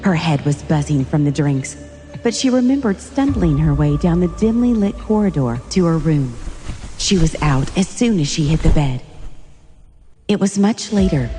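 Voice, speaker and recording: Female narrator for suspense drama: mellow, lower register, theatrical storytelling delivery.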